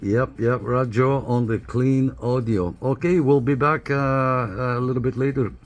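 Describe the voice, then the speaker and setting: A man giving a casual hands-on equipment review in a halting, informal, explanatory way. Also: medium pitch, steady tone, soft background music. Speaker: radio hobbyist reviewer. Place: small apartment room.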